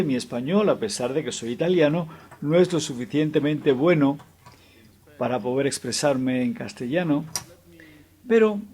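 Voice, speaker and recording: A man giving a formal introduction before a lecture, speaking in a measured way with an occasional hesitant filler. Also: fairly high pitch, warm tone. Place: lecture hall.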